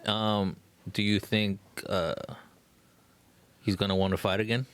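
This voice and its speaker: A man's voice: fairly low pitch, little pitch movement, unhurried, a bit bright, smooth. A man talking casually about sports on a podcast, with slangy, profane, questioning talk. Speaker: podcast host.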